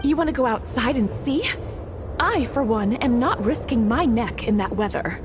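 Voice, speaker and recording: Woman acting an animated, high-voiced, outspoken townswoman. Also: moderate pace, background noise, close to an everyday voice. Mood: dry, challenging. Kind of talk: video game voice acting.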